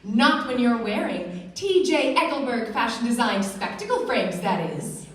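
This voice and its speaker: Actress as gushing 1940s radio saleswoman, high voice, theatrical, emphatic, distant stage recording. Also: lively intonation, a little slow, heavy reverb. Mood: bright, reassuring. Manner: theatrical, emphatic announcer delivery. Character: perky glamorous 1940s radio advert saleswoman, reassuring, gushing. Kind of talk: vintage radio commercial acting. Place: theatre stage before an audience.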